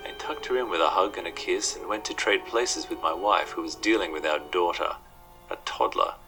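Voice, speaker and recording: A man with a very deep voice narrates a horror story in the first person. His scripted storytelling runs over clearly audible music. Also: bright, forceful tone, poor audio. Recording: music under the voice.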